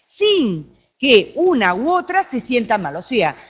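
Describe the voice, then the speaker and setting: Argentine woman giving a conference talk, kinda high animated voice, dull lo-fi recording. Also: slow pace, breathy edge. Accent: Argentine Spanish. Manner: animated, with frequent tag questions. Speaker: conference speaker. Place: conference room.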